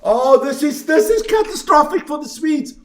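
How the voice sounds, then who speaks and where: Male livestream commentator, about fifty. High voice, opinionated and sweary, casual delivery, echoey room sound. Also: lively intonation, normal speed, fairly bright tone. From South Africa, later Switzerland. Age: around 50. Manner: blunt, opinionated ranting with frequent swearing and "man" tags. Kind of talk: casual off-the-cuff livestream talk with some race commentary. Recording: roomy, echoing room sound.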